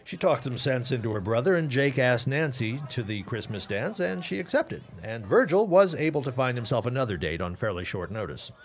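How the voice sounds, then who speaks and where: An actor playing a genial, chatty gentleman guest in a period audio drama, wordy and polite. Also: medium pitch, big pitch swings, a little fast, few pauses. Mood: amused.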